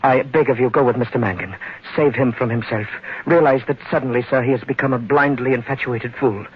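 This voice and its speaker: An actor playing a courtly, wordy gentleman schemer with pompous, ornate phrasing in old-time radio drama style. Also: medium pitch, brisk, breathy edge, natural voice. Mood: pleading, grandiose. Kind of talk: 1940s-50s radio acting. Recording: muffled old radio recording, faint noise.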